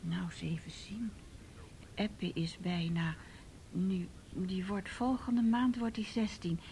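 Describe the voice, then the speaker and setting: A woman acting in a radio play as a timid, flustered, chatty neighbourhood lady with a hesitant, confiding, breathy delivery. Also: on the low side, slow, mellow, a little hiss or hum. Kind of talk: naturalistic Dutch radio play dialogue.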